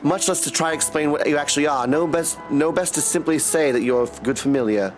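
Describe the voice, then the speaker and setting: A man acts out a formal male figure from a fantasy video game. The delivery is measured and stately, and music plays faintly behind it. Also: a little high, pitched below the performer's own voice, lightly acted. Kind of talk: reading video game dialogue aloud.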